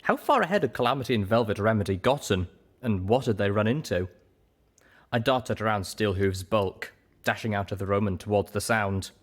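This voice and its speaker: Male narrator for first-person fiction, fairly low register, scripted storytelling delivery. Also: expressive, brisk. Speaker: story reader.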